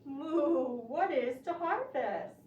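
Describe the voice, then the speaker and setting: A woman voicing a slow, unhelpful cow with drawn-out, blunt replies in a children's puppet show. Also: high voice, animated, a little mellow, a little hiss or hum. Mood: dully refusing. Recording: echoey room, distant microphone.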